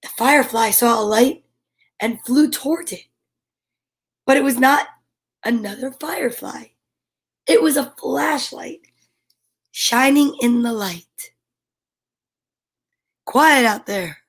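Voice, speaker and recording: Slow, high-voiced woman reading a storybook. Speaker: storyteller and host. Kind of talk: read-aloud children's picture book storytime with chatty asides.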